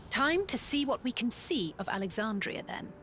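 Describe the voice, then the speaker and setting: Practical, higher-voiced adventurer woman. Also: animated, normal speed, fairly bright tone, slight background noise. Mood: brisk, curious. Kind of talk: scripted video game voice acting.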